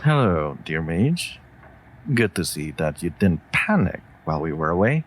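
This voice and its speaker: A man voicing a calm, loyal wolf familiar with dry humour in a fantasy audio drama, talking in a measured, wry, reassuring way. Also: sits low, slight background noise. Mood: gently chiding. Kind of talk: scripted roleplay audio drama addressed to listener.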